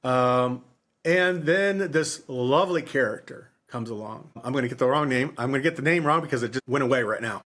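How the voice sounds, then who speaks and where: A man in his fifties co-hosting a casual book discussion, speaking haltingly and often circling back to repeat his phrases. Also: medium pitch, fairly bright tone. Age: 50s. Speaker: podcast host.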